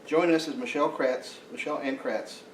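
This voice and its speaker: A man's voice: a little high, fairly even intonation, a little hiss or hum. A man who is president of a local society, making meeting announcements and introducing a speaker, with many fillers and restarts. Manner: halting, frequent uh fillers and restarts. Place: meeting room. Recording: echoey room, mic from far off.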